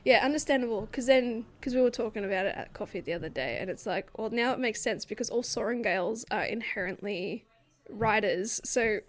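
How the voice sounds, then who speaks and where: A young woman co-hosting a book podcast, chatting casually and swearing freely in quick joking banter. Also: a little high. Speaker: podcast co-host.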